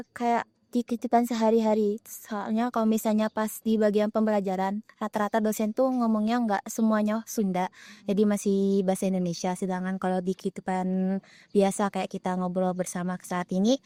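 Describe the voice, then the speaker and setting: A woman's voice: medium pitch, dark tone, dry recording. A young woman, a student explaining her experiences in an earnest, casual way. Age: young adult. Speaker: student interviewee. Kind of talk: casual group interview.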